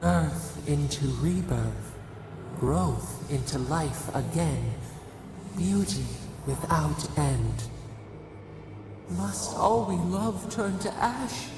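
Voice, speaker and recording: An actor of unclear sex voicing an ancient, mournful nature spirit in a video game, speaking in solemn, cryptic riddles with a creaky voice. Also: mid-range voice, expressive, roomy sound, noisy background, heavily acted. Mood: grieving, solemn. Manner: slow, solemn, poetic and riddling.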